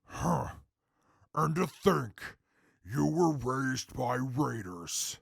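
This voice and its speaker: Man playing a gravelly, pompous, condescending companion. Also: medium pitch, expressive, extremely slow, no room sound, full character voice. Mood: detached, condescending. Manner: pompous, formal diction, dry condescension. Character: haughty, coldly formal, cutting male wasteland companion. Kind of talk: post-apocalyptic audio drama acting.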